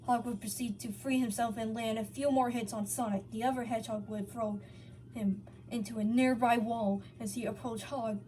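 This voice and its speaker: A woman's voice: a little high, steady tone, moderate pace, warm tone, low-quality recording. A woman reading fan fiction aloud as a narrator, sometimes stumbling over words and correcting herself. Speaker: story reader.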